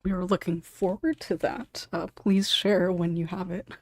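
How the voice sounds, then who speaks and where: A woman's voice: lowish voice, fairly even intonation, moderate pace, a little mellow, dry recording. A woman hosting a casual panel discussion, moving between questions and guests as moderator. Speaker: podcast host and moderator.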